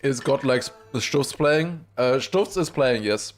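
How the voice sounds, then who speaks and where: A man livestreaming excited esports commentary mixed with casual chat, raising his voice to a shout at big moments. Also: medium pitch, slow pace, soft background music. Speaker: esports watchparty streamer and commentator.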